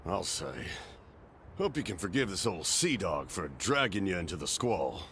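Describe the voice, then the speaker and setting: An actor playing a hearty, warm-hearted old skipper in a video game, talking in folksy sailor phrases. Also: male voice, low voice, big pitch swings, normal speed, a little hiss or hum, exaggerated voice. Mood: grateful, subdued. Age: older. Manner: folksy nautical drawl of phrasing. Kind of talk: video game cutscene acting.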